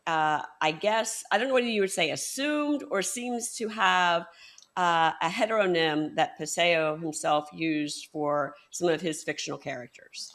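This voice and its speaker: Slow, hesitant woman host asking questions. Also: lowish voice. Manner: warm-up questions with frequent fillers and hesitations. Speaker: podcast host. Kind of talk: interview questions.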